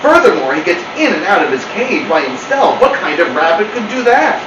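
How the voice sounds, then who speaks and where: A man's voice: high-pitched, very animated, fairly quick, highs cut off, voice pushed up. A man voicing a bossy know-it-all pet cat, lecturing with insistent impatience. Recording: roomy, distant mic, clear noise.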